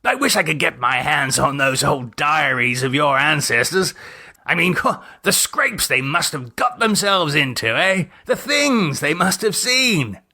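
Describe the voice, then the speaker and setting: A man voicing a jovial, excitable upper-class English gentleman in an audiobook, with plummy, exclamatory delivery. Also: a little high, unhurried, voice pushed up, heavily acted. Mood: jovial curiosity. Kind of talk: audiobook character acting.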